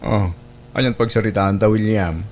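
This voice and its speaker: A man plays a plain-spoken workmate in an Ilocano audio drama. The voice is fairly low, with an Ilocano accent, recorded over a faint rumble. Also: fairly even intonation, normal speed, darkish tone, close to an everyday voice. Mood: curious, concerned. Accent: Filipino, Ilocano.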